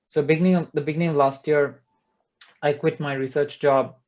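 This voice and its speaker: Young man, from India, earnest, reflective, flat delivery, dull call audio. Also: fairly high pitch, fairly quick. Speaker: startup co-founder guest. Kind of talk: panel webinar talk.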